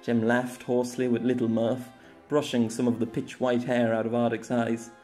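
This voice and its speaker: A man's voice: mid-range voice, completely flat delivery, normal speed, a little mellow. Man reading an audiobook, faint music. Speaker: author and narrator. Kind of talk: audiobook narration.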